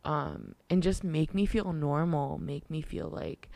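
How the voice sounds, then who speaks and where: A woman in her twenties hosting a solo podcast, hoarse from losing her voice, talking candidly and rambling with lots of fillers and restarts. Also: sits low, sing-song, measured pace, mellow, dry recording. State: hoarse from a lost voice. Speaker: podcast host. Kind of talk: casual solo podcast talk.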